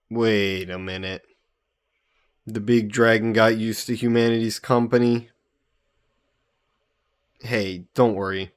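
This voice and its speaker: A young man playing an easygoing, teasing fantasy hero from Earth in a roleplay audio, chatting fondly to the listener. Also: fairly low pitch. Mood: teasing, amused. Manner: unhurried, chatty, playfully teasing.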